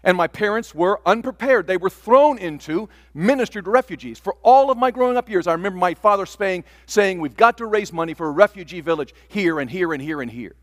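A man's voice: high voice, lively intonation, brisk, few pauses, fairly bright tone, in a large hall. An older man preaching a sermon from the pulpit, earnest, stretching out key words for emphasis. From Taiwan. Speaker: pastor. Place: church sanctuary.

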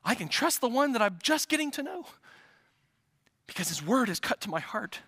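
A man's voice: very high-pitched, big pitch swings, normal speed, pushed and bright, slightly rough, no room sound, clean studio sound. A man from the Midwest preaching a sermon, building to urgent, emphatic repetition. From American Midwest. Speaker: pastor.

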